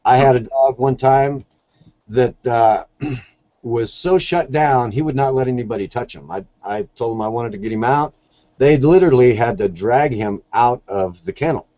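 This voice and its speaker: A man's voice: mid-range voice, moderate pace, warm tone, no echo, dull audio. A man talking casually as an interview guest, telling stories in a plain-spoken, earnest way. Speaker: podcast guest, shelter dog volunteer.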